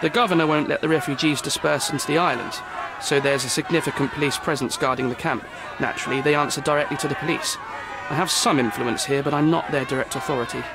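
An actor playing a harried, defensive city hall official in a video game, speaking formally and precisely. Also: male voice, on the high side, fairly even intonation, fast, over a noisy crowd, heavy background noise, close to an everyday voice. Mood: resigned, explanatory. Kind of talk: video game cutscene acting.

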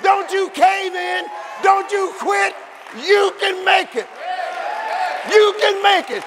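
A man's voice: very high voice, slow pace, raised voice, over a noisy crowd, very noisy. A man preaching a fervent sermon, repeating phrases and calling the congregation to answer. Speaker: pastor. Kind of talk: shouted sermon. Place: church sanctuary. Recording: echoey hall with congregation.

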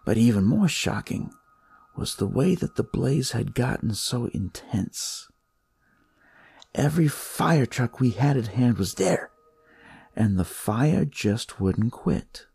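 Husky, gravelly noir detective, read by a man. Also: mid-range voice, animated, slow pace, dark tone, dry recording, heavily acted. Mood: wry, grim. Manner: wry, conversational, world-weary. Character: jaded, crude male police detective telling his story. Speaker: narrator. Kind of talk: noir first-person scripted narration.

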